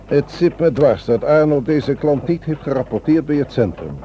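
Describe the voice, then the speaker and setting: A man plays a courteous but firm helpline official in a radio play. The voice is mellow and very varied in pitch, on a muffled recording. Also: lively intonation, normal speed, lightly acted. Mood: explanatory. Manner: polite, formal, principled. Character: courteous, firm male helpline volunteer guarding secrets. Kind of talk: radio drama acting.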